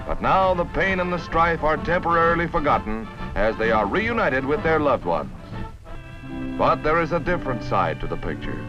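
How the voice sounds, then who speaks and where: A man's voice: fairly high pitch, big pitch swings, moderate pace, highs cut off, music in the background. An American man narrating a 1950s newsreel in a polished, dramatic, declamatory style on a vintage soundtrack. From United States.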